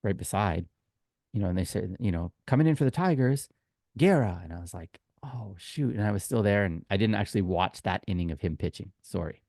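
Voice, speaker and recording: A man's voice: lowish voice, lively intonation, brisk, darkish tone, no room sound, clean studio sound. A man co-hosting a casual sports podcast, telling anecdotes in a chatty, informal way and acting out exchanges. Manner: chatty, informal storyteller who acts out quoted exchanges. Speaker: podcast co-host and tour guide.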